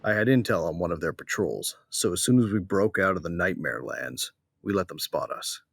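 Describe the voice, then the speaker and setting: A man's voice: low-pitched, moderate pace, gravelly, fairly bright tone, breathy edge, dry recording, clean studio sound, exaggerated voice. A man playing a grieving, embittered bounty hunter who narrates his own story in an audio drama, brooding and terse. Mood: grim, wry.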